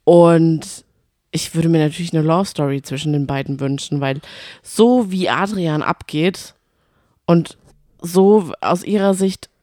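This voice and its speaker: A woman's voice: sits low, measured pace, warm tone, dry recording, clean studio sound. A woman co-hosting a podcast, chatting casually about reality TV with gushing, emphatic opinions. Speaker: podcast co-host. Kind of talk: casual reality-TV recap chat.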